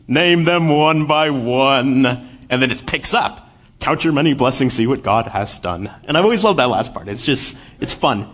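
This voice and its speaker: A man in his late twenties giving a church sermon, lively while telling a story and then quiet and earnest as he reads scripture. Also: mid-range voice. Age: 20s. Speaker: lay preacher.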